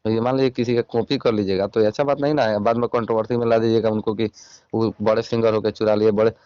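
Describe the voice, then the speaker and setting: Bihari guy on a recorded phone call, low smooth voice, pushy reporter questioning, kinda muffled. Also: flat delivery, quick pace, no room sound. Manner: insistent, admonishing. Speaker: YouTube channel reporter. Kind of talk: confrontational phone interview.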